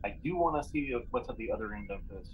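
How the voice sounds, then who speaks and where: A man playing a tabletop roleplaying game, thinking aloud haltingly as he casually speculates and plans. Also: mid-range voice, expressive, lo-fi sound, a little hiss or hum.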